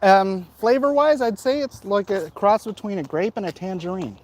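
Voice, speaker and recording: A man's voice: high-pitched, moderate pace. A man chatting casually and jokily as he hosts a livestream while walking outdoors. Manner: chatty, jokey, reading out viewer comments. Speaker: livestream host. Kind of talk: casual walk-and-talk vlog chat. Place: outdoors, walking through a neighbourhood. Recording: noisy outdoor recording, clicks and handling.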